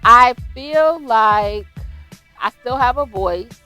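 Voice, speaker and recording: A woman rapper chatting as a podcast guest, animated and laughing often, with music playing behind her. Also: a little high, takes it slowly. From Californian Bay Area. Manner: laughs often, animated, says "girl" a lot. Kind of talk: casual chat.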